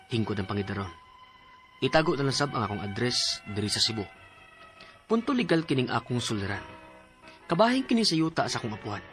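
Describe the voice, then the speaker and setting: A man's voice: mid-range voice, close to an everyday voice. An actor playing an earnest letter sender, narrating his story for a radio drama with a Cebuano Filipino accent. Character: earnest adult male letter sender telling his family problem. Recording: music bed under narration.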